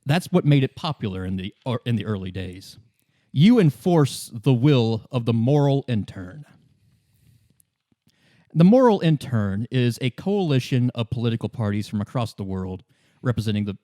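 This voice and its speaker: A man playing a worldly, composed female company representative in a tabletop roleplay, explaining things in a halting, world-weary way. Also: mid-range voice, lively intonation, mellow, no echo, studio quality, lightly acted. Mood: explanatory. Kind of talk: in-character tabletop roleplay exposition.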